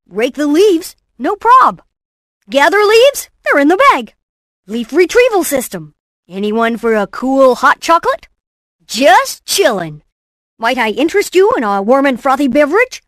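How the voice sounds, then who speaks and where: A woman voicing a brainy, cocky, excitable boy inventor in cartoon game clips, with a theatrical, punchy delivery. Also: high voice, unhurried, no room sound, clean studio sound, exaggerated voice. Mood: playful, smug. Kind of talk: animated game voice clips, short exclamations and catchphrases.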